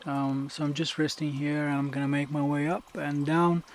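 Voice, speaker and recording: A young man, a native Bulgarian speaker, vlogging on a mountain trail, talking to a handheld camera with many pauses and fillers. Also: on the high side, level tone. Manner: slow, hesitant, many fillers. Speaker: hiking vlogger. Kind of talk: casual trail vlog.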